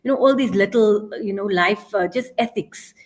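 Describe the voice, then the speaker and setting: A woman's voice: medium pitch, normal speed. Hesitant South African woman teacher. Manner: hesitant, frequent ums and "you know" fillers. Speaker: guest, teacher working abroad. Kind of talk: casual podcast interview.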